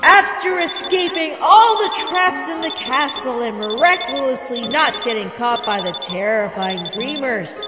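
Woman voicing an echoey, bossy mischievous child. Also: on the high side. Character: Chara, bossy, mischievous ghostly child with dramatic flair. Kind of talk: comic dub voice acting.

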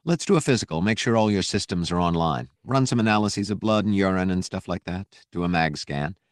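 A man voicing a military doctor in an audiobook, brisk and matter-of-fact, with a plain, reassuring, clinical manner. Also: low voice, animated, no room sound, clean studio sound.

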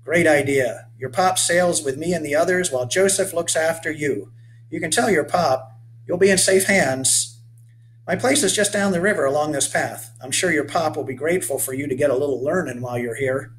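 Weathered, hearty old boatman, put on by a male storyteller; folksy, old-fashioned speech, voice pitched up. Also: normal speed, a bit bright, slight background noise, close to an everyday voice, low-quality recording. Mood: friendly, firm. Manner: folksy old-fashioned speech, calls the boy lad and son. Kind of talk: voiced character in a read-aloud story.

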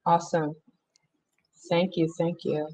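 A woman's voice: fairly low pitch, little pitch movement, slow pace, warm tone, low-quality recording. A woman moderating an online panel in a conversational way, asking questions from a room at home. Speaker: discussion moderator. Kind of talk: conversational hosting. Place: room at home, on a video call.

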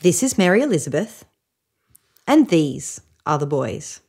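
A woman in her thirties reading a children's story aloud with measured, deliberate phrasing. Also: sits low, big pitch swings, a little mellow, breathy edge. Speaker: storybook reader. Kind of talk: expressive children's read-aloud. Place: small living room, indoors on a sofa.

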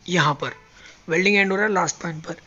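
Guy walking you through robot welding settings, high gravelly voice, muffled phone audio. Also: fast, pushed and bright. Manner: step-by-step explaining while pressing buttons. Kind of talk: hands-on tutorial. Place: factory floor beside a welding robot.

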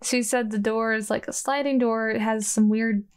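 A woman's voice: on the high side, steady tone, moderate pace, clear, resonant tone. A woman playing in a tabletop role-playing game, casually describing her moves as she thinks aloud, a little hesitantly. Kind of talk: casual improvised actual-play chat.

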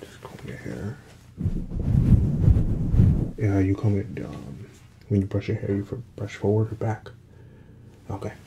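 A soft-spoken man in his thirties playing a barber in an ASMR roleplay, murmuring short remarks close to the mic. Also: fairly low pitch, measured pace, vocal fry, long pauses. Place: small indoor room set up as barbershop. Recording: loud electric clipper buzz and handling noise. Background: electric razor buzz, barber tool sounds.

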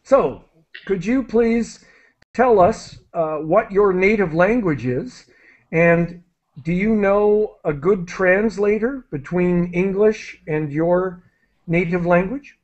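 A man's voice: sits high, takes it slowly, a little mellow. A middle-aged man wearing a headset, hosting an online English class and explaining things in a friendly way with many fillers and restarts. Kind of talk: live online English lesson. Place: small room indoors. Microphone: headset on a video call. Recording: dull lo-fi video call audio.

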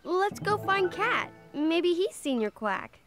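A performer voicing a gentle, helpful young boy bear cub in a children's cartoon, earnest and reassuring. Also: extremely high pitch, big pitch swings, slow, over loud music, full character voice.

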